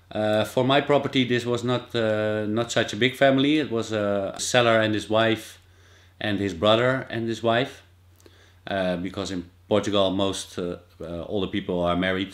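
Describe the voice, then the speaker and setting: Brief: thirties Dutch vlogger, low-pitched, hesitant, filler-heavy explainer, filmed in a small office on a desk camera. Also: male voice. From Netherlands. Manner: hesitant, many fillers and restarts. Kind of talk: casual informative vlog. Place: small indoor office with whiteboard.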